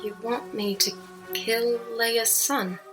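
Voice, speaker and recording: A woman's voice: on the high side, steady tone, takes it slowly. A woman playing a defiant, questioning young Jedi apprentice in a dramatic audio drama. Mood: disbelieving. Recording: music playing behind the voice.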